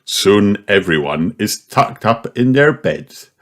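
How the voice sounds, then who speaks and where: A man reading a children's story aloud in an animated, expressive storytelling manner. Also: fairly low pitch, slow pace. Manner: animated, puts on character voices. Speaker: audiobook reader. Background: music.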